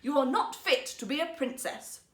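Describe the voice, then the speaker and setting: A woman's voice: high-pitched, animated, moderate pace, fairly bright tone, reverberant, voice pushed up. A woman voicing a stern, indignant old emperor and father in a storybook, blustering and commanding. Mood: furious.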